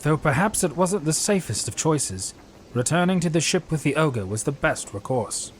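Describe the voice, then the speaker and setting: A man's voice: a little high, expressive, moderate pace, a little hiss or hum, natural voice. A man voicing an earnest, decent male adventurer in a fantasy audio drama. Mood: thoughtful.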